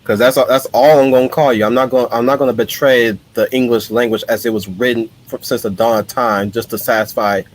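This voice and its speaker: A man's voice: medium pitch, steady tone, fairly quick, hardly any pauses, low-quality recording. A man hosting a live stream in casual chat, blunt and given to repeating words as he starts his sentences. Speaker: stream host.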